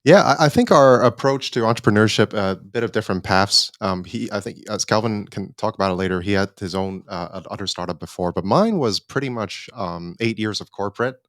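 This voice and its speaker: Smooth-voiced man in his thirties from Hong Kong. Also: fairly low pitch, brisk, few pauses, dry recording, studio quality. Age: 30s. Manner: relaxed, conversational, with repeated starts. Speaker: startup cofounder guest. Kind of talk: casual interview talk.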